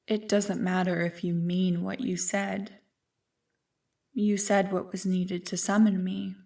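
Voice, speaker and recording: An ancient evil spirit voiced by a woman in an ASMR roleplay, with a medium-pitched feminine voice. She speaks slowly and deliberately, teasing and taunting with seductive, smug condescension. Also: creaky, mellow, recorded from a distance.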